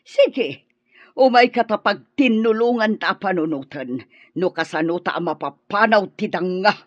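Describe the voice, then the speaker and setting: Managplano, managreklamo nga ina, napigsa ti panagbaliw ti tono. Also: female voice, medium pitch, lively intonation, measured pace, no room sound, muffled recording. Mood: conspiratorial. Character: scheming, disapproving mother plotting against her son's wife. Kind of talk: Ilocano radio drama acting.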